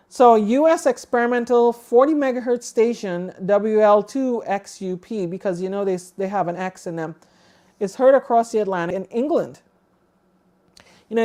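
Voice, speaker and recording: A woman's voice: medium pitch, a little mellow. A woman co-hosting a livestream, giving casual news commentary in a conversational, explanatory way, thinking aloud as she goes. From outside the United States. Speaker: co-host of a ham radio livestream.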